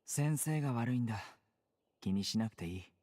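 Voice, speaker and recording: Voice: medium pitch, animated, fast talker, dark tone, in a large hall, close to an everyday voice. An actor voicing a curt, self-assured young male officer in an anime game, terse and matter-of-fact. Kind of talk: Japanese anime game voice acting.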